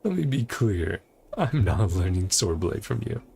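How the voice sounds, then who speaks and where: A man's voice: lowish voice, big pitch swings, unhurried, gravelly, mellow, slightly rough. A man livestreaming a video game, chatting casually in rambling asides and reading dialogue aloud. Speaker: game streamer.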